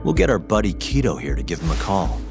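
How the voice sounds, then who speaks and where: An actor playing a calm, determined male private detective in a video game, speaking tersely and matter-of-factly. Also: sits low, quick pace, music in the background. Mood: decisive. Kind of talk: video game cutscene dialogue.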